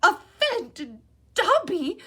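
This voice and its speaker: A woman voicing a small, servile male house elf with a squeaky, high-pitched voice and an earnest, tearful, overly formal manner. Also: expressive, measured pace, a bit bright.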